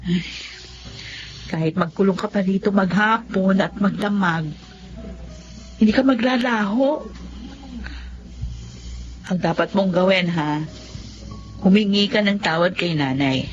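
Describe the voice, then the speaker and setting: A woman's voice: medium pitch, unhurried, pauses often, natural voice. Radio drama role: woman as firm Filipino older sister, caring but admonishing, mid-range voice, muffled recording with faint music. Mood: scolding, encouraging. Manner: direct, admonishing.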